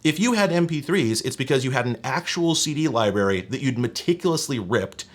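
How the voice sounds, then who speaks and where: A man's voice: mid-range voice, lively intonation, a little fast. A man in his thirties hosting a tech history video, reading scripted narration in a conversational way with wry, casual asides. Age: 30s. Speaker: host of a tech history show.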